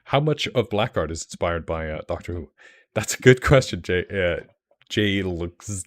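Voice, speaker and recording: This is a young man from Iceland, speaking English as a second language, on a casual stream. His voice sits very low. He rambles warmly through fillers and restarts, getting excited about what he shows. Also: moderate pace. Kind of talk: casual livestream chat.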